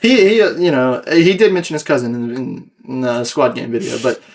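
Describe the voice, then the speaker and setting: A man's voice: medium pitch, a little fast. A young American man hosting a casual podcast, explaining his own creative work in a rambling, self-critical way. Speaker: podcast host and video creator.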